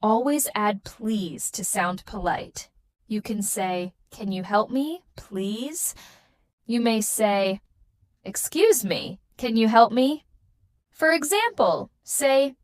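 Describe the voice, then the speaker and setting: A woman leads a beginner English lesson, her fairly high voice rising and falling a great deal. She speaks slowly and spaces every phrase carefully. The sound is close and dry, with no room around it. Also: dry recording. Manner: slow, carefully spaced, patterned sentences. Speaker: English teacher.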